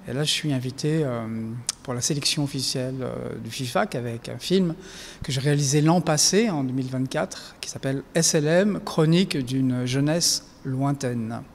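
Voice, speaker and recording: Guy with a gravelly voice, halting, lots of ums, echoey room w/ some faint noise behind. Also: medium pitch. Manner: hesitant, many fillers and restarts. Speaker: documentary filmmaker, interviewee. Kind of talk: reflective interview answers.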